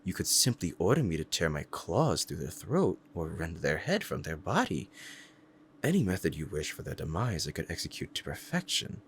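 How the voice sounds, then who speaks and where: A man's voice: low-pitched, big pitch swings, moderate pace, slight background noise. A man playing a powerful, proud demon devoted to a master, speaking in a formal, courtly and menacing way in an ASMR roleplay drama. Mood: ruthless, eager.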